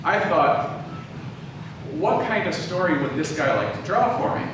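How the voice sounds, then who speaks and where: A man giving an informal, conversational lecture, often halting and backtracking to rephrase as he tells personal anecdotes. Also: on the high side. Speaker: lecturer, audio drama writer and producer. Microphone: distant from the speaker. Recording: very echoey room, distant mic, clearly noisy.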